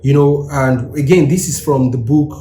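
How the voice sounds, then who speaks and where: Man, from Nigeria, earnest, instructive, mid-pitched voice, echoey room. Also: normal speed, warm tone, slight background noise. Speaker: co-host. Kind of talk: advice talk.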